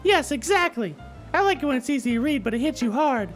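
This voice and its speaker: A bratty, cutesy visual novel schoolgirl acted by a woman. Her high voice is snippy and smug, quick to take offense, with music underneath. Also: expressive. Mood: defensive. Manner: blunt, snippy, defensive.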